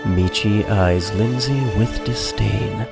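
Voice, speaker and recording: A man's voice: fairly low pitch, takes it slowly, vocal fry, over loud music. A man narrating a scripted comedy story in a playful, conversational and wry way. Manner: wry, animated.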